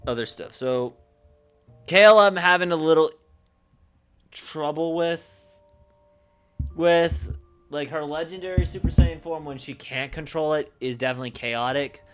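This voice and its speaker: A man livestreaming casually, thinking aloud in a halting way and often trailing off mid-thought. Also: fairly high pitch, a bit bright, clean tone, faint music behind. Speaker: streamer.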